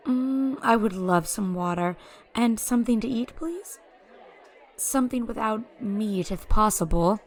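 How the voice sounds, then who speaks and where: Lady as a soft polite fey girl, talks real slow, faint chatter behind, fantasy audio drama. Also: fairly high pitch. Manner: soft, courteous, hesitant. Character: gentle, polite fey wanderer woman, youthful-seeming, naive.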